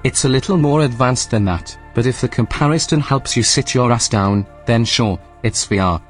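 An actor playing a composed, snide artificial intelligence running a station in a sci-fi audio drama, speaking in a precise, formal, deadpan way. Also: male voice, medium pitch, normal speed, soft background music, natural voice. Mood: matter-of-fact. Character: dry, snide male AI station supervisor, composed.

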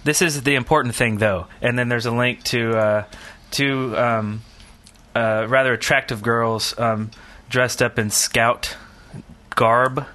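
A man's voice: mid-range voice, steady tone, takes it slowly, pushed and bright. A man co-hosting a podcast, chatting in an informal, rambling and joking way. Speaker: podcast co-host. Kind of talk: casual group podcast chat.

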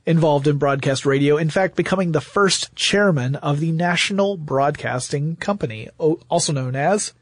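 Higher-voiced American man, podcast explainer. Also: moderate pace, hardly any pauses, no echo. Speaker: podcast co-host. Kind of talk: conversational explainer.

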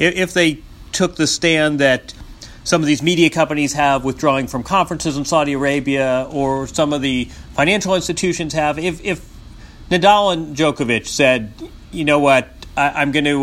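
A man interviewing a guest, asking long questions with many halting restarts. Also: on the high side, fairly bright tone. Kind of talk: podcast interview.

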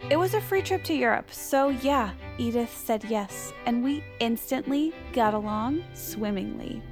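A woman's voice: fairly high pitch, lively intonation, unhurried, music in the background. An actress playing a quirky, anxious young woman who chats awkwardly and cheerfully about grim things in comedic audio fiction. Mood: cheerful, morbid. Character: quirky, morbid, anxious young woman narrating her story.

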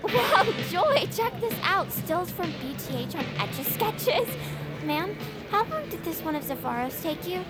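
A woman's voice: extremely high pitch, very animated, unhurried, full character voice. An actress playing an enthusiastic, easily impressed young female fan in casual audio-drama banter, with music underneath. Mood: curious, impressed. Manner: enthusiastic, agreeable.

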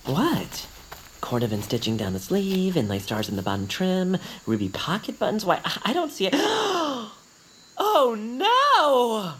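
A woman's voice: medium pitch, wildly swooping pitch, measured pace, a bit bright, a little hiss or hum. A woman playing a vain but friendly young male bird creature, easily flustered, speaking in a hesitant, affectedly casual way in a cartoonish audio drama. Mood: horrified. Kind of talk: cartoonish audio fiction acting.

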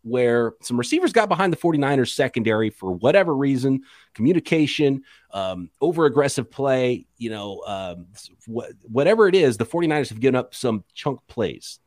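A man co-hosting a sports podcast, talking casually with an animated, joking delivery. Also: medium pitch, brisk, dry recording, studio quality.

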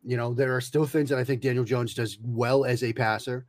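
A man's voice: mid-range voice, steady tone, brisk, dry recording, clean studio sound. A man appearing as a guest analyst on a podcast, explaining things in an analytical way with lots of "you know" and restarts. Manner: analytical, many fillers and restarts. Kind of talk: casual podcast conversation.

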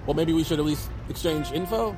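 An actor as a highish-voiced anxious American guy, thirty. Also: a little fast, music in the background, natural voice. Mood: nervous, apologetic. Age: 30s. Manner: nervous, rambling, apologetic. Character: anxious, restless thirty-year-old slacker, earnest and apologetic. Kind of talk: naturalistic audio fiction dialogue.

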